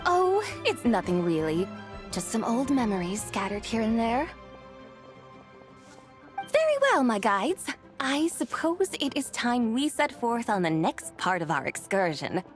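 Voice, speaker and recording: A woman's voice: fairly high pitch, very animated, faint music behind. Dignified, lofty immortal lady. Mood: gracious. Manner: formal, archaic, measured. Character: ancient, dignified adeptus woman, gracious and lofty. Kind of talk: video game voice acting.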